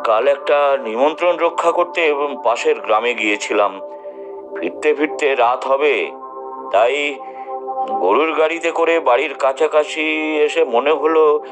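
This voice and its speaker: A man acts out a grave older landlord of a village household in an audio drama. His voice sits a little high and he speaks haltingly, distressed and repeating himself, with music clearly behind him. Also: lightly acted. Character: grave, shaken older village landlord recounting a servant's death. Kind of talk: dramatic audio story acting.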